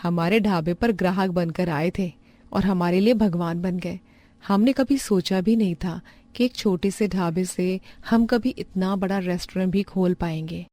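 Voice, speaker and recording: A woman voicing a kind, humble young village wife who runs a roadside eatery in a cartoon story, speaking earnestly and gently. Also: lowish voice, unhurried, no room sound, lightly acted. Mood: grateful.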